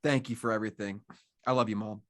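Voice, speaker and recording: A man's voice: on the low side, fairly even intonation, fast, fairly bright tone, no echo, natural voice. A man acts out a determined young man in a screenplay reading. He keeps his lines terse and everyday, with a very dry, close sound. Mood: earnest. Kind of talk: table read of a screenplay.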